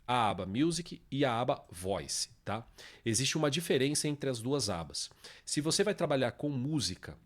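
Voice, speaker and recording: A man's voice: medium pitch, big pitch swings, moderate pace. A man with a Brazilian accent hosting an informal music production tutorial, explaining step by step and often checking understanding with short tag questions. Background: guitar samples and music played from software.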